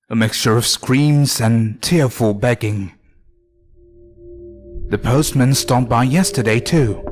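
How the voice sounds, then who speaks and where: A man narrating a scripted horror story in a deliberate, suspenseful way with dramatic pauses. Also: mid-range voice. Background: music under the voice.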